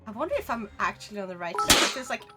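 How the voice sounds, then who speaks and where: A woman's voice: medium pitch, very animated, fast, loud music behind the voice. A young woman with a Swedish accent, chatting playfully with her viewers while streaming a game. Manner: chatty and playful, talking to chat while playing. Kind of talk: casual gaming livestream chat.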